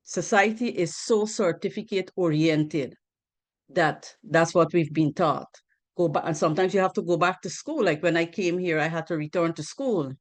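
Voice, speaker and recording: A woman's voice: on the low side, moderate pace. A woman from Guyana giving a financial literacy presentation on a group video call, earnest, asking rhetorical questions and repeating key points.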